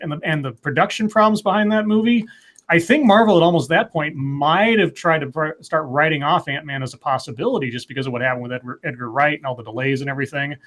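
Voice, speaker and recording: Slightly high-voiced male panel guest. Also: fairly bright tone. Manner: hesitant, reasoning aloud with fillers. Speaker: podcast guest. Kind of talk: casual live panel chat.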